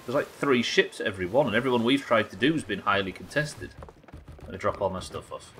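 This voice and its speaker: A man's voice: fairly low pitch, animated, fast talker, a bit bright, a little hiss or hum. A British man in his thirties giving casual, rambling, sweary game commentary and chatting to viewers from a small room. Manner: sweary, rambling, self-questioning. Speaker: live game streamer. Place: small indoor streaming room.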